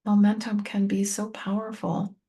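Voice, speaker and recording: A woman leads a guided meditation in a soft-edged, dark voice with plenty of fry. Her pitch stays fairly level as she gives gentle, unhurried instructions straight to the listener, leaving space between short phrases. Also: monotone. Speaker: meditation teacher and tapping coach.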